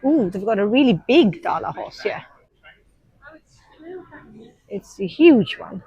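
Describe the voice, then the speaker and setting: A woman's voice: mid-range voice, sing-song, slow pace, slightly rough, dry recording, dull audio. A woman in her thirties from Sri Lanka, a nursery teacher, vlogging casually from an airport and explaining things with frequent ums. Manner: chatty, explanatory, frequent um fillers. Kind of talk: casual vlog narration to camera. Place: busy airport shops and seating area.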